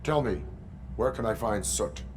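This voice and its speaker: An actor playing a stern, dutiful cyborg police officer in a video game, clipped, formal, deadpan and commanding. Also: male voice, lowish voice, measured pace, slight background noise.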